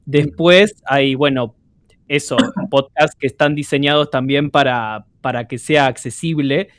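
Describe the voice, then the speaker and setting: A man's voice: mid-range voice, measured pace, no room sound. A man hosting a casual podcast, speaking thoughtfully with frequent hesitant fillers. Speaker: podcast host.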